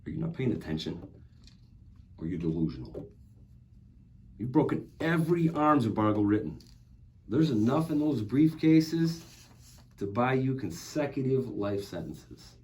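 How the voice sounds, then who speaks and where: A man's voice: medium pitch, very animated, unhurried, pauses often, mellow. A man at a table read playing a righteous, sardonic law agent who interrogates in a pointed, accusatory way. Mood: infuriated. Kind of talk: acted screenplay table read. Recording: noticeable background noise.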